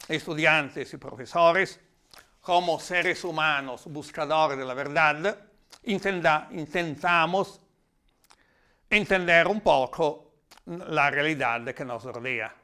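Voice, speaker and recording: A man with an Italian accent giving a reflective, explanatory talk in a language that is not his native one, sometimes halting as he searches for words. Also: on the high side, pushed and bright, clear, resonant tone. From Italy. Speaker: lecturer.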